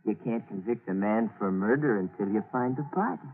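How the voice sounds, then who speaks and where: Man playing a low-voiced scheming young killer, old radio. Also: brisk, lightly acted. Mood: urgent, persuasive. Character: scheming young male chemist's assistant, brooding, persuasive killer. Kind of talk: 1940s radio acting with inner narration. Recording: muffled, noisy 1940s radio recording.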